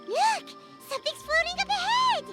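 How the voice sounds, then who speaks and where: Tiny fairy companion, squeaky childlike pitch, excitable, chatty, video game, loud music behind. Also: female voice, takes it slowly, full character voice. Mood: excited. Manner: squeaky, childlike, speaks of self in third person.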